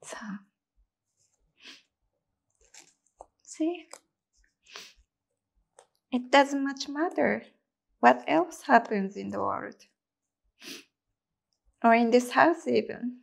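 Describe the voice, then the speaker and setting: Resigned, despairing adult daughter for a stage monologue, played by a woman: slightly high, husky, breathy, deliberate short phrases. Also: exaggerated voice. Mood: anguished, resigned. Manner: halting, deliberate, long pauses between short phrases. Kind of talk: slow dramatic stage monologue.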